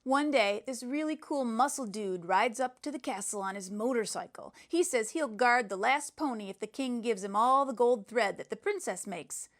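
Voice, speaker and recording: Brash, scornful schoolboy played by a woman reader, blunt and boastful, slangy storybook action telling, fairly high voice. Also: moderate pace, few pauses, natural voice. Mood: boastful, excited.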